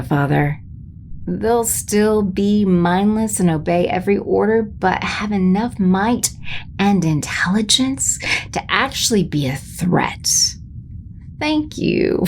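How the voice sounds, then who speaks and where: A woman playing an imperious, scheming space sorceress empress in an audio drama, creaky and commanding. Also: lowish voice, a little hiss or hum. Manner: commanding, haughty.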